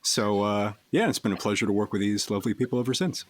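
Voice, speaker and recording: A man's voice: lowish voice, quick pace, a loud crowd. A man telling a casual anecdote as an interviewed voice actor in a group. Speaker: voice actor, interviewed cast member.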